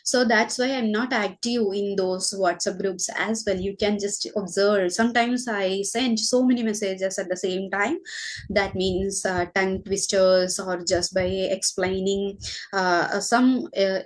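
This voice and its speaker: A woman from Kerala speaking with an Indian English accent, chatting in a relaxed podcast conversation. She talks in a thoughtful way, stopping for small gaps and fillers while she gathers her ideas, at a mid pitch. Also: rough recording. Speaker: school teacher.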